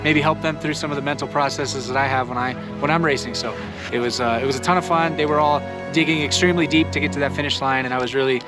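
Fast, upbeat male coach, slightly high, music bed. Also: steady tone. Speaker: paralympian coach. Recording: music under the voice.

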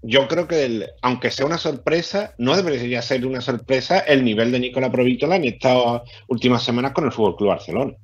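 Spaniard, 30s, headset on a video call, muffled sound, steady and measured. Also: male voice, medium pitch, breathy edge, soft background music. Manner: measured, slight hesitations. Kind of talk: casual sports discussion. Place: small room at home. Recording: dull lo-fi video call audio.

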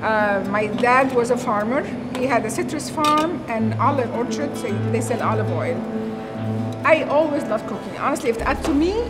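A middle-aged Syrian-accented woman speaks with earnest animation, scattering "you know" through her story. Her voice sits a little high. Clear music plays underneath the interview. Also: lively intonation, moderate pace. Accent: Syrian Arabic. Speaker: chef and restaurant owner. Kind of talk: documentary interview. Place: empty restaurant dining room.

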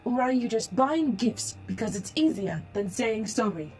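A woman's voice: fairly high pitch, lively intonation, moderate pace, darkish tone, close to an everyday voice. A woman playing a polite, formal holographic ad-bot in an audio drama, speaking precisely and matter-of-factly as she lectures. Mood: firm, challenging. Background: music.

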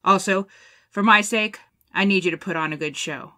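A woman's voice: mid-range voice, normal speed, pushed and bright, close to an everyday voice. A woman playing an honourable military colonel in an audio drama, speaking with formal, firm authority. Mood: earnest, urgent.

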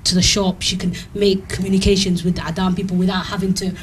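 A young woman on a studio panel, talking quickly and switching between English and Somali within sentences. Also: medium pitch, level tone, a little hiss or hum. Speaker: panel guest. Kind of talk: panel discussion. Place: TV studio, indoors. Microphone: handheld mic.

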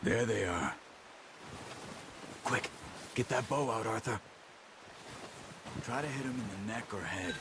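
An actor playing a calm, watchful outlaw hunter in a video game, speaking in a terse, instructive way. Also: male voice, fairly low pitch, unhurried, pauses often, background noise. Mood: hushed, focused. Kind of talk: video game drama dialogue. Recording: game audio under loud music. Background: loud background music.

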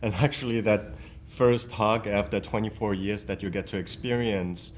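A man's voice: lowish voice, steady tone, normal speed, slight background noise. A man actor from Germany answering interview questions reflectively, with frequent ums. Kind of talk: press junket interview. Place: studio set.

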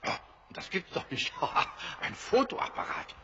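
An actor playing a sober, thoughtful leader of island castaways in an audio drama, speaking deliberately and with authority. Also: male voice, bright, forceful tone, muffled recording. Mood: curious, amazed.

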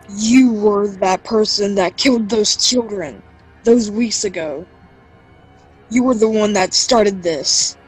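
An amateur actor playing an anxious, accusing restaurant worker in a horror audio drama, with halting, stilted delivery. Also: mid-range voice, husky, low-quality recording. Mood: horrified, accusing.

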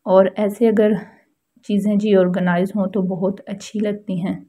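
A woman's voice: medium pitch, little pitch movement, normal speed, warm tone, husky. A woman with a Pakistani accent giving a casual how-to voice-over as a home vlogger, explaining things politely and repetitively.

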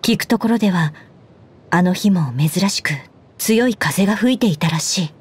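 A woman voicing an earnest, dutiful young knight commander in a Japanese game, speaking in a formal, measured way. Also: mid-range voice, husky, close to an everyday voice. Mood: composed. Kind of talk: Japanese game character voice lines. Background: background music.

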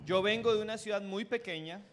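A man's voice: high voice, a little fast. A man in his thirties interpreting a speaker phrase by phrase on a handheld mic, with frequent restarts. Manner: follows each phrase, with restarts and self-corrections. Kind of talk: live consecutive interpreting. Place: stage in a hall.

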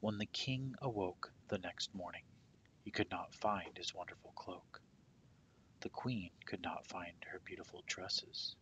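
A man reading a children's picture book aloud as storyteller, with deliberate, dramatic phrasing that softens at the ends of phrases. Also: deep voice, steady tone, a little hiss or hum.

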